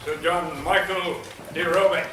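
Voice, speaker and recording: A man's voice: on the high side, steady tone, takes it slowly, bright, forceful tone, a little hiss or hum. A man playing a worried British admiral who reports events in a matter-of-fact way. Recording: echoey hall.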